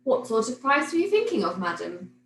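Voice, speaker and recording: Woman playing a courteous shopkeeper, lively intonation. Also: on the high side. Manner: formal, obliging, measured. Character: courteous, kindly man, hat shop salesman. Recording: roomy echo, noticeable background noise.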